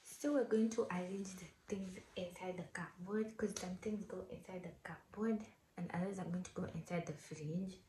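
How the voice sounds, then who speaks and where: A woman vlogger, croaky from just waking up, talking in a soft half-whisper with lots of "like" fillers in a casual voice-over from her home kitchen. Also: lowish voice, fairly even intonation, moderate pace, hardly any pauses, a little hiss or hum, poor audio. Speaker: lifestyle vlogger.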